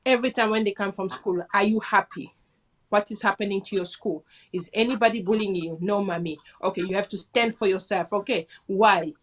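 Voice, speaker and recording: A woman's voice: mid-range voice, brisk. A woman from Congo, a care assistant, earnestly telling her own story as an interview guest. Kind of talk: interview chat.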